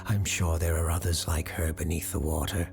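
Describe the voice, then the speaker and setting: Lovesick young sailor read by a woman, dreamy, insistent, deep-voiced, music beneath, for an audio ghost tale. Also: little pitch movement, normal speed, gravelly. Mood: coaxing. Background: music under the voice.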